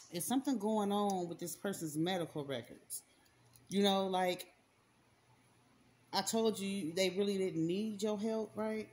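A woman's voice: on the low side, a little hiss or hum. Emphatic woman giving a spiritual card reading. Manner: repetitive, emphatic, slangy, talks to viewer directly. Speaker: spiritual reader. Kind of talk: casual spoken card reading. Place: indoors at a table, hands and cards on camera.

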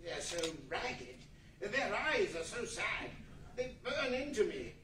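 A man playing an anxious, halting, pleading old miser in a 1940s-style radio play. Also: sits high, very animated, a bit bright, full character voice. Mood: troubled, pleading. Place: large meeting hall before an audience. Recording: distant echoey room with faint noise.

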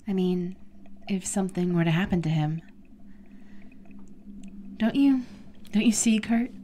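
An actress playing a gentle, seductive, quietly scheming woman in an intimate audio drama. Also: fairly low pitch, animated, measured pace, a little hiss or hum. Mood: persuasive, scheming. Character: soft-spoken, seductive, scheming captive woman. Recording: faint background ambience.

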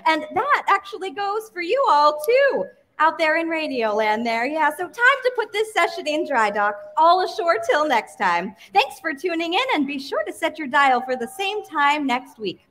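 An American woman hosts a vintage radio variety show from a stage. Her voice is high and bright, with big swooping intonation and theatrical announcer energy. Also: normal speed. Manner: animated, theatrical stage delivery. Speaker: radio show host and presenter. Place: stage in a museum event hall. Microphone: stand microphone on stage.

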